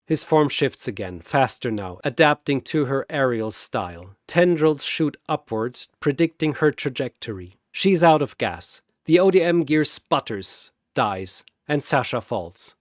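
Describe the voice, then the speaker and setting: Man narrating a battle story, lively pitch. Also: measured pace, hardly any pauses, no room sound, studio quality. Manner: vivid, play-by-play battle telling. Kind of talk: dramatic scripted narration.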